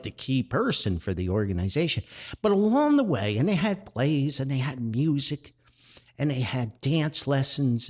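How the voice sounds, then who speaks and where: A man hosting a podcast, telling personal stories in a casual, folksy, enthusiastic and chatty way. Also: on the low side. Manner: folksy and chatty, addresses listeners as friends. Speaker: podcast host and writer.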